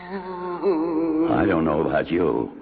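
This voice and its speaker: A man acting in a 1970s radio drama as a superstitious, easily frightened rustic helper, gravelly, folksy and stammering. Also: on the high side, huge pitch swings, very slow, soft background music, exaggerated voice. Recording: dull vintage radio recording, crowd-like background.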